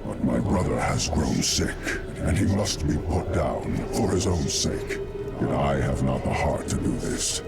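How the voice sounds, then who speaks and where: A grim, imperious archangel from a video game, speaks in an extremely deep, gravelly male voice. His solemn, formal commands swing widely in pitch and sound proud and bitter. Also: lively intonation, measured pace, over loud music, heavily acted. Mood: grave, sorrowful. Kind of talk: video game cutscene acting.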